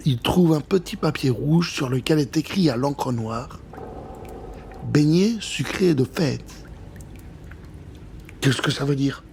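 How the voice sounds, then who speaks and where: A man narrating a scripted children's adventure story in an expressive, suspenseful way. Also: on the high side, a little hiss or hum.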